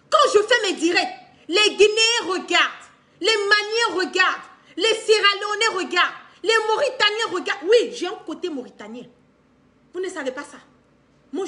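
A middle-aged woman with an Ivorian accent speaks in an extremely high voice with lively, swinging intonation. She delivers an impassioned live-stream commentary from a room at home, hammering points through emphatic repetition. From Ivory Coast. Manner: emphatic, repeats phrases for stress, animated with hand gestures. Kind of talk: impassioned, unscripted monologue to camera. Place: indoor living room.

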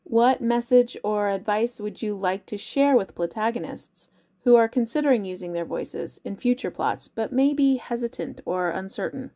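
A woman's voice: mid-range voice, animated, normal speed, dark tone. A woman interviewer reading scripted questions to a guest.

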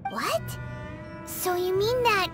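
A tiny floating fairy girl from a video game, extremely high and squeaky. The childlike voice is excitable and indignant, swooping widely in pitch over loud background music. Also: takes it slowly, exaggerated voice. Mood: puzzled. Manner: exclamatory, talks of self in third person.

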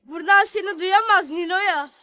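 High-pitched, sensible cartoon big brother. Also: female voice, normal speed. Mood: matter-of-fact. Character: sensible, helpful young boy, the older brother. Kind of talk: children's cartoon dialogue.

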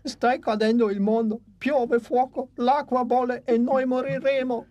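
A woman's voice: fairly high pitch, flat delivery, unhurried, mellow, close to an everyday voice. A woman voicing a young, cautious and fretful flying dinosaur in a dubbed cartoon. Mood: anxious. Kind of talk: Italian cartoon dub.

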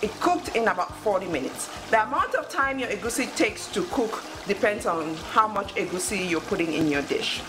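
A woman's voice: mid-range voice, lively intonation, normal speed, hardly any pauses. A woman hosting a cooking show, giving step-by-step instructions in short phrases. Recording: music under the voice.

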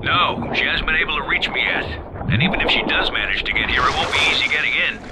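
A man's voice: a little high, expressive, fast, close to an everyday voice. An actor playing an anxious male doctor barricaded inside his lab in a video game. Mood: worried. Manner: worried, resigned. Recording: game dialogue with loud wind noise.

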